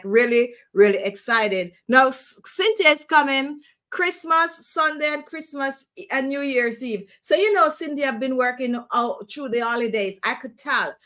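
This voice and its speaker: A middle-aged woman with a Jamaican accent hosting a casual beauty live stream from home, chatty and animated, speaking to a webcam. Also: on the high side. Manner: chatty, animated, talks with her hands. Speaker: beauty channel host. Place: home room, indoors.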